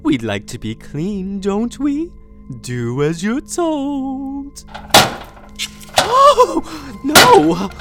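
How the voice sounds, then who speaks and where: A woman playing a sweet-talking but unstable female captor in an audio drama, using syrupy baby talk that breaks into shrill screaming fits. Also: wildly swooping pitch, drawn-out words, over music, full character voice. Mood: scolding.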